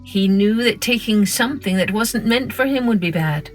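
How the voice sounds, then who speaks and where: A woman narrating a scripted children's story in a measured, expressive storytelling manner. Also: mid-range voice, soft background music. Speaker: storytelling podcast host.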